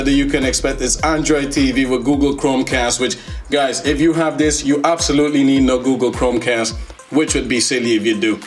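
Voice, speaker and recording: A man giving casual, enthusiastic unboxing commentary as a tech reviewer, in a playful, slangy, joking manner. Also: mid-range voice. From Netherlands. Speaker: tech reviewer, video host.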